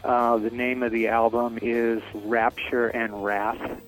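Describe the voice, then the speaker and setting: A man's voice: mid-range voice, flat delivery, slow, soft background music, poor audio. A middle-aged man, a metal singer, answering interview questions in a hesitant way, with many fillers and restarts. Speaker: metal band vocalist. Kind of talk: interview, casual chat.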